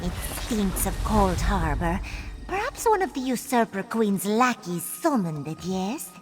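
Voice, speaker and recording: An actress playing a wary, anxious companion in a fantasy video game, cautioning and earnest. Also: female voice, mid-range voice, very animated, measured pace, faint music behind. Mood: suspicious. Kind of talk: video game character acting.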